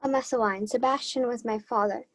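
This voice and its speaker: Casting: young woman as a loyal boyish page, earnest and devoted, high voice, radio-play Shakespeare. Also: fairly even intonation, fairly quick. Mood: moved. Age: young adult.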